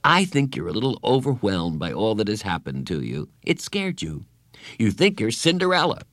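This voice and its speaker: A man voicing a gentle, patient woman school counselor in an audiobook, speaking calmly and kindly. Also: lowish voice, moderate pace, no room sound. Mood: reassuring. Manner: calm, kind, reassuring. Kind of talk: audiobook character voice.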